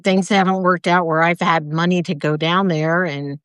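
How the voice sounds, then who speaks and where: A speaker in a casual conversation, reflective and soft-spoken, often restarting sentences. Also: medium pitch, fairly even intonation, normal speed, clear, resonant tone, no room sound, studio quality.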